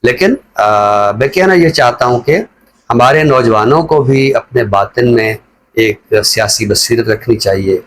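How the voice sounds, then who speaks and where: Measured middle-aged Pakistani man, lecturing. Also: mid-range voice. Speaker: literary commentator and teacher. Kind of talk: reflective talk show commentary.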